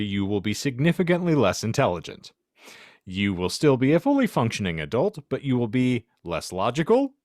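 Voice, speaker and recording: A man's voice: mid-range voice, no echo, studio quality. A man hosting a casual podcast, bantering and reading aloud in a wry, mock-grandiose way. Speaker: podcast host.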